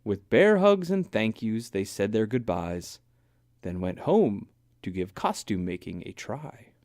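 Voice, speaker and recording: A man reads a rhyming children's story as narrator. His voice sits a little low and moves with plenty of expression. He phrases slowly and deliberately, in a dry, close-sounding recording. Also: very animated, a little mellow, dry recording.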